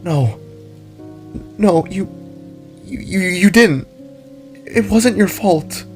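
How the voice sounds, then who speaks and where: A man's voice: sits high, expressive, drawn-out words, faint music behind, pitched above the performer's own voice, heavily acted. A man voicing a kind young female animal character in a dramatic story, hesitant and sad as she speaks. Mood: tender reassurance. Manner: soft, hesitant, stammering, comforting.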